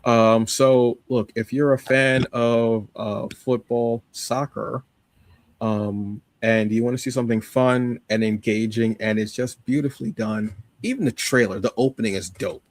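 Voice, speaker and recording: A man's voice: mid-range voice, fairly even intonation, unhurried, dry recording. A man co-hosting a podcast, chatting casually and enthusiastically with slangy emphasis as he gives recommendations. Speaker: podcast co-host. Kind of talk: casual chat, giving recommendations.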